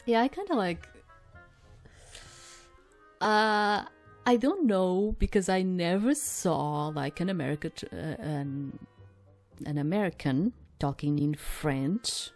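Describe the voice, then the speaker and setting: Low-voiced woman rambling through a game. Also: expressive, slow, long pauses, darkish tone, soft background music. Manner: rambling, many fillers and restarts. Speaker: streamer playing a visual novel. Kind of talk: casual gameplay commentary.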